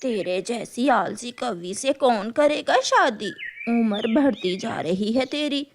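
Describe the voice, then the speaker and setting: A woman's voice: on the high side, animated, slow, a little hiss or hum. A woman voicing a scolding, sarcastic mother crow in a cartoon. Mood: nagging. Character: nagging, doubtful mother crow of a lazy daughter.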